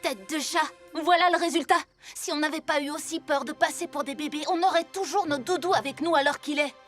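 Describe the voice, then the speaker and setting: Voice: sits high, a little fast, fairly bright tone, faint music behind. An actor in a French cartoon dub playing an earnest, decisive young boy who leads superheroes. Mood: downcast.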